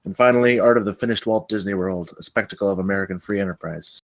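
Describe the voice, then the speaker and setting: A man's voice: lowish voice, steady tone, fast. A man narrating stage directions from a screenplay at a table read.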